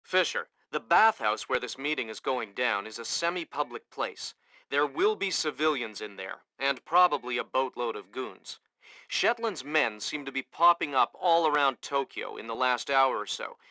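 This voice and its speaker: Casting: alert game mission officer, forceful bright tone, very dry sound, crisp informal briefing. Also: male voice, mid-range voice, animated, moderate pace, no room sound. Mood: businesslike. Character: brisk male mission operations officer, businesslike and alert.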